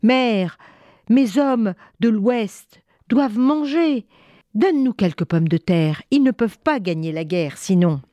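A woman's voice: on the high side, expressive, slow pace, warm tone, no echo, highs cut off, pitched above the performer's own voice. A woman storyteller voicing an eager, restless young peasant son who exclaims and pleads. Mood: pleading.

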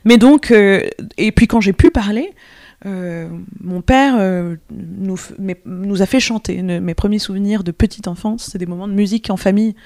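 Young French woman, opera singer, thoughtful, halting delivery, dry close sound. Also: mid-range voice, a little mellow, no room sound. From France. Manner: thoughtful, many hesitations and restarts. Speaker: opera singer, mezzo-soprano. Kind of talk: radio interview.

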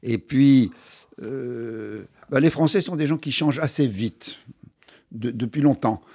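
An older man talks as an interview guest. He tells his story with animated emphasis and often restarts his sentences mid-thought. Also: mid-range voice, measured pace, breathy edge. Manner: animated storyteller, many restarts, stressed key words. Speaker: author and journalist guest. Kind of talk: conversational interview.

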